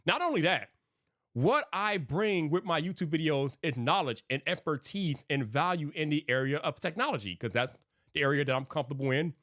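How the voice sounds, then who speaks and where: Tech streamer, man with a lisp, slightly high voice, earnest and rambling, dry close mic. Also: lively intonation, fairly quick, fairly bright tone, no room sound. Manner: earnest, rambling, sometimes hypes viewers. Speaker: tech YouTube creator live streaming. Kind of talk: casual livestream talk to chat.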